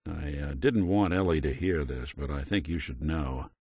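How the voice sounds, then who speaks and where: A man's voice: rumbling low, normal speed, darkish tone, breathy edge, no room sound, clean studio sound, exaggerated voice. A male actor playing a weary old android detective in a video game, talking in wry, slangy, hard-boiled noir style. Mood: grave.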